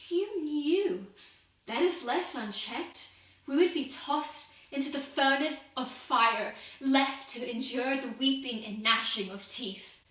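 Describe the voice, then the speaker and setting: A young woman playing a guilt-ridden, devout working woman of the industrial era in a solemn, formal confessional monologue. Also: on the high side. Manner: solemn, deliberate, formal oratory. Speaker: student performer. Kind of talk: dramatic monologue, confessional prayer. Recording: very echoey room, distant microphone.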